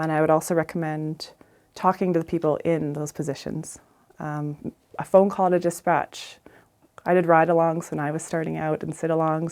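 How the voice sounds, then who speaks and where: A woman's voice: sits low, a little mellow. A middle-aged woman with a Canadian accent giving an informal, plain-spoken career talk as an emergency dispatcher.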